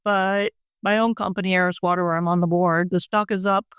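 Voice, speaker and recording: An older woman with a very clear, smooth voice speaks as an expert guest in an interview. Her pitch stays fairly even as she explains things in measured, plain-spoken terms. The sound is dry and close. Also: dry recording. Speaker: industry expert guest and board member. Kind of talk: conversational interview answers.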